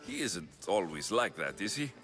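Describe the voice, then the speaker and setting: A wary young mercenary in a video game, blunt and dry. His voice is forceful and slightly low, with faint music behind it. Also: big pitch swings, moderate pace. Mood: guarded. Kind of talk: video game dialogue acting.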